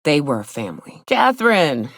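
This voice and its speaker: An actress playing a gruff, hard-drinking female monster hunter in a video game, sardonic and blunt, with a loose, earthy drawl. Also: low voice, very animated, normal speed, no room sound, studio quality, exaggerated voice. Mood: wry, blunt. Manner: sardonic, blunt, swaggering, colloquial swearing. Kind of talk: video game character dialogue. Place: close, dry studio recording.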